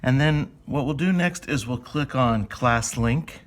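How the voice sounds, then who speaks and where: A man's voice: mid-range voice, a little slow. A man speaking as an elementary school teacher, chatting informally through step-by-step instructions for young children and thinking aloud.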